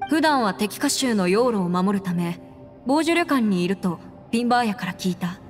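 A woman acting in a Japanese game as a composed, proud young noblewoman adventurer, speaking in a measured, firm and formal way. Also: medium pitch, animated, natural voice. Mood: inquiring, earnest. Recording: long reverb, faint music behind.

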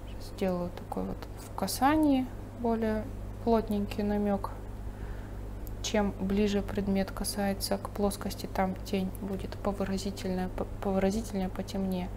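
Woman art teacher, calm running commentary while painting; recording has a clear hiss. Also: medium pitch, moderate pace. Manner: thinking aloud while painting, calm and explanatory. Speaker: painting instructor. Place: studio table, overhead camera on the painting.

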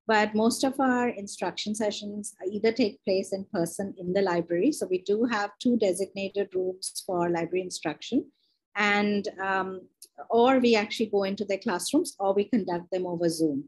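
A woman's voice: mid-range voice, steady tone, normal speed, low-quality recording. A young adult woman from India, a university librarian, presenting a webinar over a video call in a conversational way, with many fillers and self-corrections.

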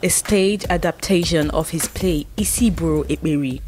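A woman's voice: low-pitched, normal speed, a little mellow, faint music behind. A woman with a Nigerian accent presenting a scripted event report in voice-over.

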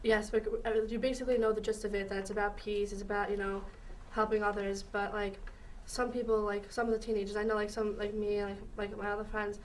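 A woman's voice: mid-range voice, distant mic, slight background noise, rough recording. A teenage girl speaking casually in an interview, rambling with many fillers, in a school library. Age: teens. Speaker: student in program.

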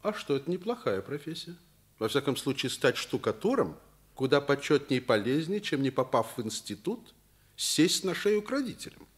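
An actor playing a fatherly, earnest middle-aged school director in a Soviet radio play, explaining in a measured, persuasive way. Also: on the high side, big pitch swings. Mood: calm, persuasive.

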